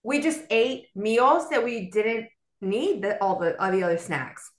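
A young adult woman from South Florida, a bilingual Spanish and English speaker, telling her story as a podcast guest in an animated, chatty way. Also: on the high side, measured pace, echoey room. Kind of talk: casual conversational storytelling.

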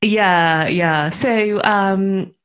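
A woman's voice: medium pitch, drawn-out words, fairly bright tone. A young woman from England with a Birmingham accent, chatting as a podcast guest and laughing easily. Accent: Birmingham English. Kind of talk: casual interview chat.

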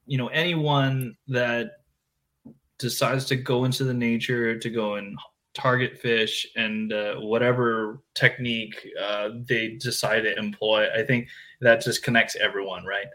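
A man hosting a podcast in casual chat, laid-back and excitable, full of slang, quick to laugh. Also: medium pitch, poor audio.

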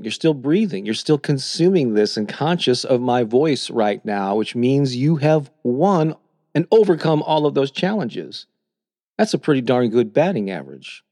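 A middle-aged man reading his own calm, encouraging self-help talk as a podcast host. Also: medium pitch, no room sound, studio quality. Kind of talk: scripted motivational narration.

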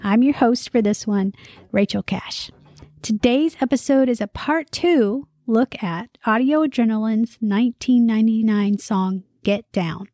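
Upbeat woman podcast host, fairly high voice. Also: measured pace, dark tone, no echo, clean studio sound. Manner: upbeat, conversational, lightly humorous.

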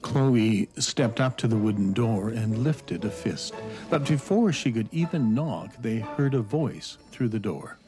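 A man narrating a children's fantasy story as a measured storyteller. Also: lowish voice, lively intonation, over music. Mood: measured, descriptive.

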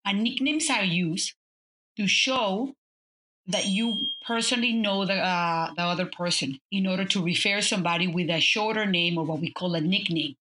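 Bright-voiced Mexican woman telling stories. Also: fairly low pitch, moderate pace, rough recording. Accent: Mexican Spanish. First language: Spanish. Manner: warm, storytelling, many fillers and restarts. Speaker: guest, business consultant. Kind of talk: conversational interview.

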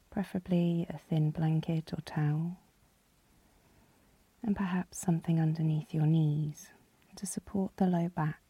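Very soft, mellow, slow-speaking woman guiding meditation. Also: fairly low pitch, no room sound. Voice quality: soft, breathy, husky. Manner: calm, soothing, gently instructing. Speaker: yoga nidra meditation guide. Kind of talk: guided relaxation meditation.

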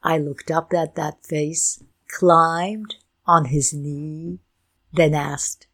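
An older woman whose first language is Ukrainian, telling her family's story in a slow, deliberate, reflective way. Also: sits low, little pitch movement, a bit bright, slightly rough. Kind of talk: personal storytelling talk.

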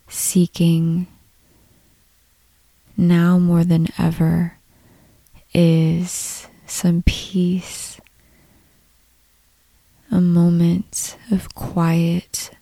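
A woman leading a guided meditation in a soft, breathy, calm voice, unhurried, with long pauses between short phrases. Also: lowish voice, no echo, rough recording. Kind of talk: slow guided meditation narration.

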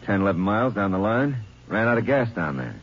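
A man's voice: lowish voice, very animated, quick pace. An actor playing a sly male criminal who fakes politeness, in a 1950s radio drama. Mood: fake polite. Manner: smooth, overly polite. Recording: dull lo-fi old radio recording.

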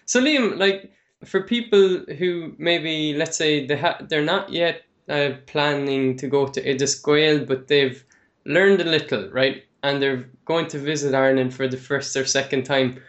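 A man's voice: on the high side, unhurried, a bit bright. A man hosting a podcast interview, asking questions in a friendly, chatty way. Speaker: podcast host.

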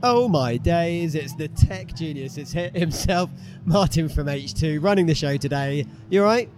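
A man with a slightly hoarse, fairly high voice and an eager, enthusiastic manner. He hosts quick interviews at a business show, and a faint background noise is heard. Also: expressive. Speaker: host interviewing exhibitors. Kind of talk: upbeat vox-pop interviewing. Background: exhibitors packing up.

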